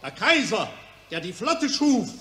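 A man's voice: high-pitched, sing-song, measured pace, heavy background noise. A man playing a fanatical war poet who declaims bombastic patriotic verse in a 1940s radio drama. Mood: bombastic, rousing. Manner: declamatory, exclamatory. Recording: roomy, echoing 1940s radio recording.

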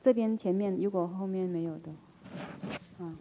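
A woman's voice: mid-range voice, steady tone, muffled recording, slight background noise. A woman emceeing a temple gathering, calling people up and leading the chanted visualization. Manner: brisk directing prompts, then slow liturgical chanting. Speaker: ceremony emcee.

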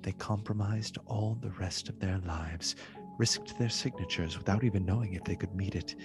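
A man narrating a literary radio play in a solemn, measured, dramatic way, with a husky voice. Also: low-pitched. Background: music.

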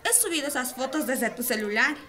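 A brash teenage schoolgirl, acted by a woman in a radio drama. Her high, sharp voice jumps around confrontationally. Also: expressive, fairly quick. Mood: defensive, annoyed.

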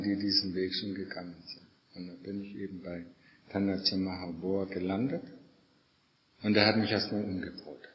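Middle-aged male monk for informal spiritual teaching, conversational and repetitive for emphasis, lowish voice, muffled recording. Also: a little hiss or hum. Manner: conversational, repeats phrases for emphasis. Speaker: Buddhist monk and meditation teacher. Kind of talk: informal spoken dharma talk. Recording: echoey hall, long reverb, muffled sound.

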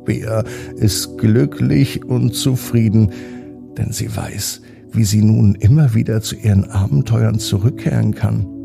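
Slow, gravelly German man telling bedtime stories. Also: lowish voice, over music. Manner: calm, gentle, addresses children directly. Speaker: bedtime story host. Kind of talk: slow, soothing bedtime story narration.

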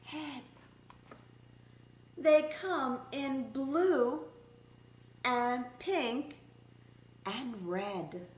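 A middle-aged woman with a fairly high voice reads a children's picture book aloud like a teacher. She goes extremely slowly and expressively, stretching out words for young listeners. The room is echoey, recorded from a short distance. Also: lively intonation. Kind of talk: children's story time read-aloud. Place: small indoor room set up as a classroom. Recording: roomy echo, background room noise.

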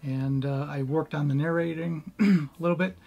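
A middle-aged man in a home room with bookshelves, speaking with a somewhat monotone delivery, first chatting casually, then reading scripted history narration. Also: fairly high pitch, normal speed, vocal fry, lo-fi sound, soft background music. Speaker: history video creator.

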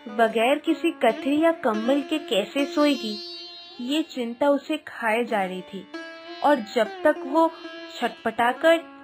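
A woman reads scripted storybook narration. Her voice is high and her pace is slow and measured. Indian instrumental music plays faintly behind her.

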